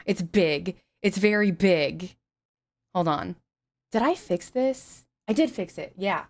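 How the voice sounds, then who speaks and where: Chatty streamer woman, slightly raspy. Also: medium pitch, slow pace. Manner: chatty, swears freely, animated greetings. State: slightly raspy after flu. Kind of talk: casual stream chat greeting viewers. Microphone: headset.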